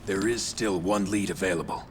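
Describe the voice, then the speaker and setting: Casting: male actor for level-headed squad strategist in a game, very deep, measured. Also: steady tone, background noise, close to an everyday voice. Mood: calm, explaining. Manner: measured, explanatory. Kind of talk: video game cutscene acting.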